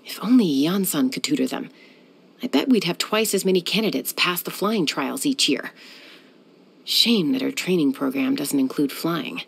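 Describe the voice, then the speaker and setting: An actress voicing a cool, confident young woman who is a sky-hunter and peacemaker in a video game, speaking in a calm, composed, measured way. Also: sits low, vocal fry, husky, exaggerated voice. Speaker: game character.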